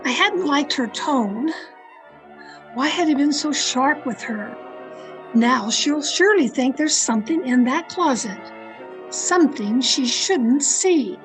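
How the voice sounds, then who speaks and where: A woman's voice: high voice, animated, slow pace, heavily acted, rough recording. A woman playing an anxious, guarded mystery novelist in an old-time radio drama, with a formal, theatrical delivery. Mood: tense, uneasy. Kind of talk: old-time radio drama acting, first-person suspense narration. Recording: remote video-call recording with music behind.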